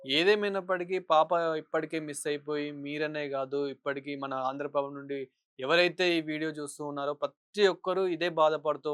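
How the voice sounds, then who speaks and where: A man's voice: on the high side, a bit bright, clean tone, no echo, studio quality. A man working as a news interviewer, putting long, wordy questions in a phone interview.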